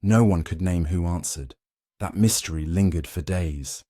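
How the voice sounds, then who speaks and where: A man's voice: deep voice, normal speed, mellow, dry recording, clean studio sound. A man narrating a scripted dramatic story in a solemn, suspenseful way, in short weighted phrases.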